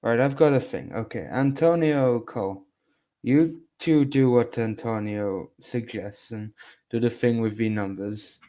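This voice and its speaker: Male player, mid pitch, dark mellow tone, short agreeable asides. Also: unhurried.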